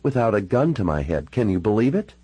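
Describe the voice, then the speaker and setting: A man's voice: on the low side, very animated, brisk, dark tone, breathy edge, dry recording, pitched above the performer's own voice. A man voicing a troubled, sardonic, hard-drinking woman with a wry, frank and informal way of talking, in audiobook dialogue. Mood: bitter, wry.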